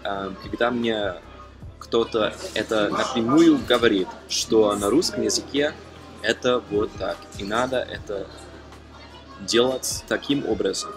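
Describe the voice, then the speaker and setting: A young man from Germany talking casually to camera as a non-native speaker, halting with frequent fillers and self-corrections. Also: fairly low pitch. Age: young adult. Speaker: language-learning vlogger. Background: background music.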